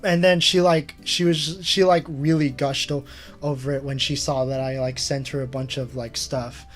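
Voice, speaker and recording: A man's voice: medium pitch, little pitch movement, moderate pace, faint music behind. A man hosting a casual screen-share retrospective, rambling with lots of fillers and chuckling at himself. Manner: rambling, filler-heavy, self-amused. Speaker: channel host.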